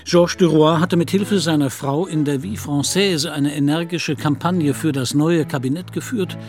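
A man's voice: fairly high pitch, a little mellow, lightly acted. A man narrating a literary audio drama in German as a scripted storyteller.

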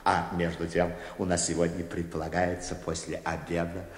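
An actor playing a vain, nervy bank director in a theatrical radio play, with a pompous manner. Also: male voice, low voice, little pitch movement, normal speed, lightly acted. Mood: nervy, weary. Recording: echoey hall, long reverb.

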